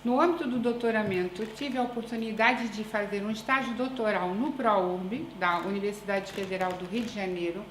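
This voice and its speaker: A woman researcher with a Brazilian accent tinged by European Portuguese, giving a conference presentation in a deliberate, measured way with frequent hesitations. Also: a little high, slight background noise. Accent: Brazilian Portuguese with European Portuguese influence. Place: conference room. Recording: echoey room with faint ambience.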